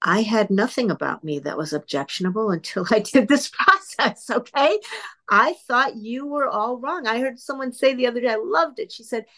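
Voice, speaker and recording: A woman's voice: on the high side, lively intonation, normal speed, a bit bright. A woman in her fifties giving an earnest, candid, self-deprecating recovery share to a group over an online call from her computer. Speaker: speaker sharing at a twelve-step meeting.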